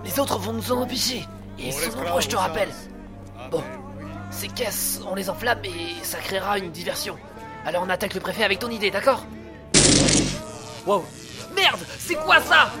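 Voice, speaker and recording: An actor playing a desperate, devoted brother and police officer in a French fantasy audio drama, agitated and pleading. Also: male voice, high-pitched, animated, moderate pace, long pauses. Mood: tense. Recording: music under the voice.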